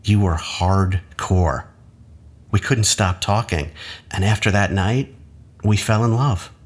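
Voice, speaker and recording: A low-voiced, husky, condescending husband. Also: male voice. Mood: reminiscing, lecturing. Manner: measured, coaxing, patronizing. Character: manipulative husband, an academic doctor, calmly condescending and persuasive. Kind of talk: audio drama acting.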